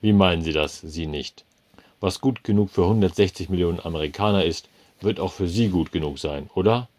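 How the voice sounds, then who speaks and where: A man playing a burly, cigar-chewing cafeteria manager who talks in a loud, pompous, bullying bluster. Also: rumbling low, normal speed.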